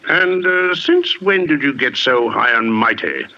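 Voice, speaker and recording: A man's voice: mid-range voice, animated, unhurried. An actor playing a sneering, brutish man who taunts with scornful mockery in an old-time radio drama. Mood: sneering, menacing. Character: sneering, brutish, mocking garage-owner husband. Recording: muffled, noisy vintage radio recording.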